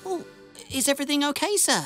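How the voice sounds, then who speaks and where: An eager little boy steam engine in a children's cartoon. The voice is high and very animated, with a bubbly, wide-eyed delivery over music. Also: quick pace. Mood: concerned. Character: cheerful eager young boy tank engine.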